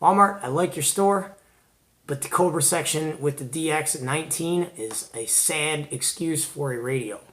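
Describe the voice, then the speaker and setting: A man's voice: on the high side, normal speed, a bit bright. A man hosting a hobby show, giving casual, chatty show-and-tell commentary in informal, slangy language. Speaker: host of a CB radio hobby show.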